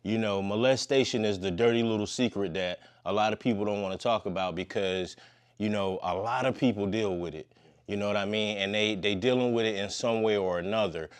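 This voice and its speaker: Deep-voiced laid-back man in his fifties. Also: brisk, clear, resonant tone. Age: 50s. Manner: laid-back, slangy, long-winded. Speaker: podcast guest. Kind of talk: casual conversation.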